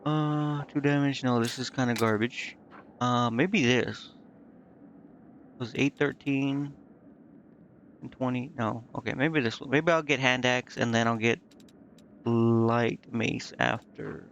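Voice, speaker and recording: A man's voice: mid-range voice, fairly even intonation, slow pace, long pauses, a bit bright, highs cut off, a little hiss or hum. A man streaming a video game, thinking aloud in a casual, hesitant way as he mutters to himself.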